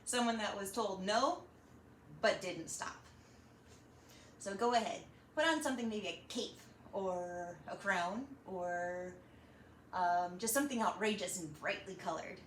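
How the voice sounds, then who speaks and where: A woman hosting a children's storytime, reading and chatting in an animated, encouraging way straight to young listeners. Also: medium pitch, a little slow. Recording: roomy echo, slight background noise.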